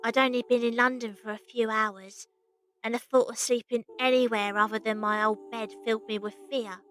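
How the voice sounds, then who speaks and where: A woman's voice: fairly high pitch, steady tone, moderate pace, a bit bright, natural voice. A woman playing a young, weary, plain-spoken circus runaway as narrator and lead of an audio drama. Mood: wistful.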